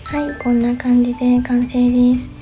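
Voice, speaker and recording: A young woman with a slightly high voice talks through a hair styling tutorial in gentle, step-by-step instructions. She is a hairstylist in her twenties, and clear background music runs under her voice-over. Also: level tone, moderate pace, low-quality recording. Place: small bright studio indoors.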